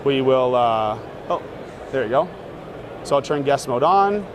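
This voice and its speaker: A man casually demonstrating a gadget in an interview, relaxed and full of fillers. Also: medium pitch, a little slow. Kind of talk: casual demo talk. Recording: noisy outdoor street sound.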